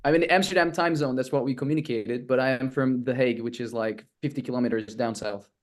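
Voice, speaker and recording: A man's voice: mid-range voice, steady tone, quick pace. A man from Turkey, a native Turkish speaker, talking casually as an interview guest.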